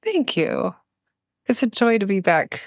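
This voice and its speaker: A woman speaking as an interview guest in a reflective, personal way, halting thoughtfully as she searches for words. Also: mid-range voice, sing-song, creaky, dry recording, studio quality. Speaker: podcast guest, poet.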